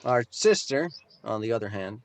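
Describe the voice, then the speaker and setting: A man playing a calm, understanding younger brother in an amateur script read-through. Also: lowish voice, moderate pace, a little hiss or hum. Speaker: table read participant.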